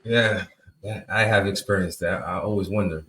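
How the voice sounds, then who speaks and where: A man's voice: low-pitched, moderate pace, a bit bright. A man on a talk-show panel who mostly agrees and adds brief remarks.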